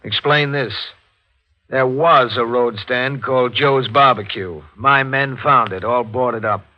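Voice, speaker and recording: An actor playing a probing, no-nonsense district attorney in a 1940s radio drama, with a brisk, pointed manner. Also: male voice, medium pitch, lo-fi sound, lightly acted. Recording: band-limited 1940s radio recording with music.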